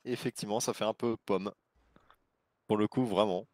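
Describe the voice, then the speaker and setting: A man with a lowish voice plays a character in an online roleplay game. He makes easy, courteous small talk, and the sound is close and dry with no room echo. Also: fairly even intonation, normal speed, darkish tone. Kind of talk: casual in-character chat.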